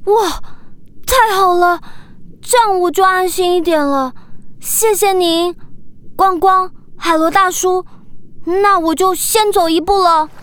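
A woman's voice: very high-pitched, slow, pauses often, a bit bright, exaggerated voice. A woman voicing a polite, childlike young boy dolphin in a children's audio drama, eager and a little hesitant. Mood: relieved.

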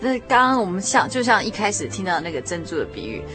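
Quick-talking female radio interviewer. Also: medium pitch, very animated, over music. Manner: attentive, frequent short affirming murmurs.

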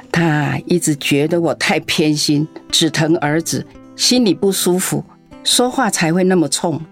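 A woman's voice: low-pitched, slow pace, soft background music. A woman voicing an ailing elderly mother in a radio drama, sighing and coughing between her words. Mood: gentle. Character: ailing elderly mother, sharp-tongued yet tender.

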